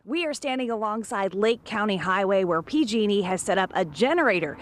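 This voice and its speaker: A woman news reporter delivering a scripted report outdoors beside a highway. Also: animated, brisk. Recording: faint outdoor roadside noise.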